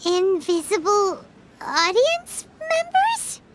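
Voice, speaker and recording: Extremely high squeak, childlike fairy sidekick, lively swoops, skittish, slow-paced, loud background noise. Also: female voice, full character voice. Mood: baffled. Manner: squeaky, skeptical, excitable. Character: tiny childlike fairy companion, skittish and nosy. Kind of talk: video game character acting.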